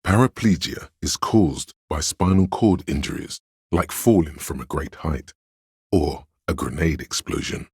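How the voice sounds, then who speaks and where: Game inner-voice role: encyclopedic know-it-all man, extremely low and very smooth, resonant, measured, faintly condescending. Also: lively intonation, a little mellow, no room sound, studio quality, full character voice. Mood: measured, informative. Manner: measured, scholarly, dryly condescending. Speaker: game narrator. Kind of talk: scripted game narration.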